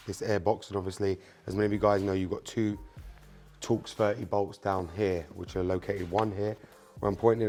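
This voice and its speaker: Low-voiced man, Spanish native speaker. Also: darkish tone. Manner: casual, repeats filler words like "um" and "obviously"; addresses viewers directly as "you guys" while working. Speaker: car mechanic channel host. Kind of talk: hands-on repair tutorial.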